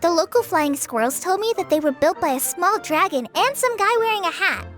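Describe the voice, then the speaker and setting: A sweet, shy teenage girl from an anime game. Her very high voice lilts with expression and is polite and a little hesitant. Music sits faintly behind it. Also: expressive, a little fast, a bit bright. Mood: thoughtful. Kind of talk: English anime game dub.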